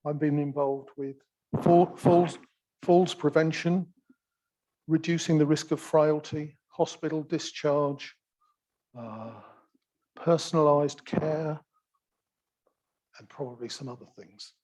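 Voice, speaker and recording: Soft-spoken man, slow and unhurried. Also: on the high side, steady tone. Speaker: assembly action group member speaking at a community meeting. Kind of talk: informal public talk. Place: theatre function room, live event.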